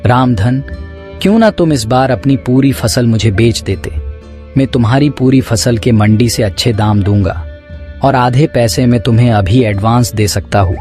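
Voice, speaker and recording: A man's voice: mid-range voice, normal speed, darkish tone, faint music behind, lightly acted. A man playing a scheming, commanding rich village merchant in a cartoon story, businesslike and bossy. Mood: persuasive, businesslike.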